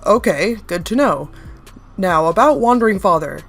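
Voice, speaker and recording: A woman voicing a male scientist who interviews a strange being, calm and professional. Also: medium pitch, moderate pace, soft background music. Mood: measured.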